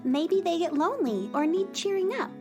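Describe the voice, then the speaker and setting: High, eager little girl. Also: sing-song, normal speed, over music. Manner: eager, polite, wide-eyed. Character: cheerful curious little girl. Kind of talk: children's radio play acting.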